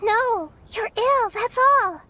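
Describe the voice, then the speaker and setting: A woman playing a wise, childlike girl deity in an anime-style video game, earnest, gentle and thoughtful. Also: very high voice, takes it slowly, slight background noise, heavily acted. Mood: pleading. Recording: clear background game music.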